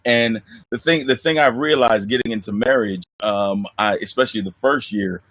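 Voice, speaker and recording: Deep-voiced man, easy chat. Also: moderate pace, no room sound, low-quality recording. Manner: relaxed, chuckling, talks of his deep voice. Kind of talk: casual conversation.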